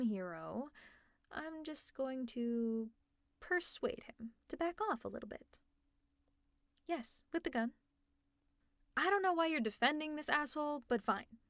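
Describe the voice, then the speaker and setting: A woman's voice: a little high, fairly bright tone, dry recording, studio quality. A woman playing a sarcastic caring supervillain. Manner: teasing, exasperated, with sighs and laughs. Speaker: ASMR roleplay narrator. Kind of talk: audio roleplay.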